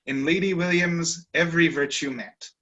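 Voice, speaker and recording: Casting: male reader, bright tense voice, slightly high, stately delivery. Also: fairly even intonation, moderate pace, dull audio. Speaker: reader of the story's narration. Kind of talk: dramatic reading. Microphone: video call.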